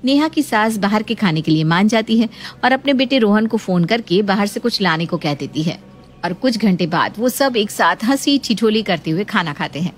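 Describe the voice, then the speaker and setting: A woman's voice: medium pitch, expressive, moderate pace, a little hiss or hum. A woman narrating a scripted family story as a storyteller.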